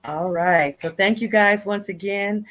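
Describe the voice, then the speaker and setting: A woman's voice: medium pitch, measured pace, a bit bright. An older woman hosting a live online talk show on a video call, upbeat as she thanks her guest and viewers. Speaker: host.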